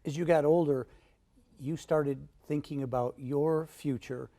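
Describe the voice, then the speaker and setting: A woman hosting an interview with a strikingly low, mellow voice. She keeps her questions short and friendly and slips in dry little quips. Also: steady tone, measured pace. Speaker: interview host. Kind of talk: conversational interview.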